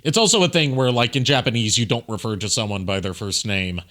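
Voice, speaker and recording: A man with a low, crisp-edged bright voice talks as a streamer commenting on a video. His delivery is casual and explanatory, wandering through his points. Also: fast talker. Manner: rambling, explanatory. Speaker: streamer, amateur voice actor.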